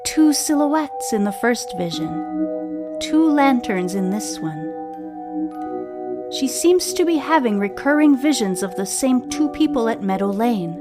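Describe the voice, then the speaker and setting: A woman reading a scripted serial story aloud as a podcast host, with a measured, deliberate storytelling delivery. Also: on the high side, animated. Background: music.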